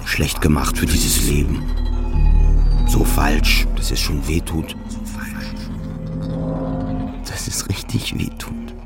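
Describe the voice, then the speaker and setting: An actor playing a depressed, self-loathing family father in an intimate radio play monologue, speaking in halting, repetitive phrases. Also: rumbling low, heavily acted. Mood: self-loathing, anguished.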